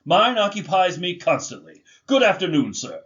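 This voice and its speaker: A man's voice: sits high, sing-song, moderate pace, fairly bright tone, dull audio. A man playing a cold, grumpy old miser in a family radio drama, curt and scornful as he barks at those around him. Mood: cold, dismissive.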